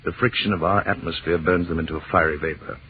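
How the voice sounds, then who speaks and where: Man acting deep-voiced, professorial husband, old radio. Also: steady tone, fast, lightly acted. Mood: wry, explaining. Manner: teasing, wordy, mock-formal. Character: playful, romantic, professorial husband, an astronomy instructor. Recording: muffled, noisy 1930s radio recording.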